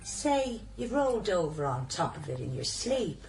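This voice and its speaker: A woman's voice: fairly low pitch, big pitch swings, moderate pace, gravelly. Wildly swooping, callous poorhouse woman. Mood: sly. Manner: taunting, complaining. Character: irritable, callous poorhouse woman, sly and mercenary. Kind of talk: radio drama acting. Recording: noisy radio drama ambience.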